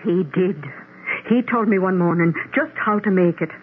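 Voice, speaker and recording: An actress playing a worried, talkative housekeeper in a radio drama, hesitant and stammering, with homely turns of phrase. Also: female voice, fairly low pitch, normal speed, natural voice. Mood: uneasy, insistent. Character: worried, talkative housekeeper, loyal and easily spooked. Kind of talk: 1970s radio drama acting. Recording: tinny, noisy old radio broadcast.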